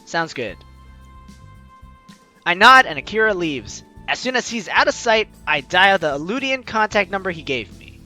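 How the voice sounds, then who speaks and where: A man reads a story game aloud and jokes between lines. His voice is high and very lively in pitch. Faint music plays under him. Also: moderate pace. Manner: animated, joking asides. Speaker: game player reading dialogue aloud. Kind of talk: let's play narration.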